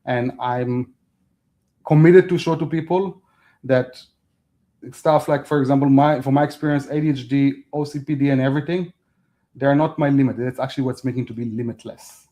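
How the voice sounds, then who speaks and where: A man whose first language is Hebrew, a guest talking casually in an interview, halting and restarting as he speaks in non-native phrasing. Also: mid-range voice, fairly even intonation, mellow. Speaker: podcast guest.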